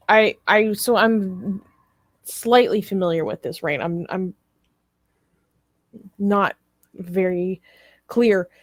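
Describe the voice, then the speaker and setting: A woman co-hosting a casual news discussion, speaking haltingly and carefully qualifying what she says. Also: medium pitch, no echo. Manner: hesitant, careful to qualify claims. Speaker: talk show co-host.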